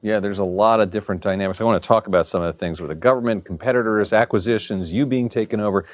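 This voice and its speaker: A man's voice: on the low side, quick pace, no echo. A man from Maryland interviewing a guest, asking leading questions and seeking agreement.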